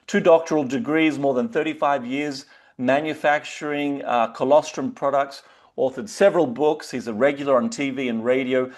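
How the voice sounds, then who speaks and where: A man with an Australian accent hosting a webinar, talking loosely and casually with frequent fillers. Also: mid-range voice. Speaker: webinar host. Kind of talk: casual presentation.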